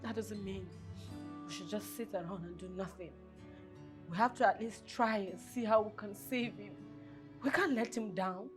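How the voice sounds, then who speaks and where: A woman acts a devoted young daughter in a film drama. Her voice sits at an average pitch and sounds sincere and pleading. Soft music runs faintly underneath. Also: moderate pace, natural voice. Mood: pleading, upset. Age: young adult. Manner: earnest, pleading.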